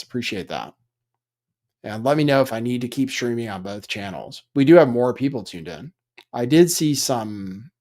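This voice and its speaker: A man hosting a livestream, commenting casually in an offhand, dry way with rambling asides. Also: fairly low pitch. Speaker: livestream host.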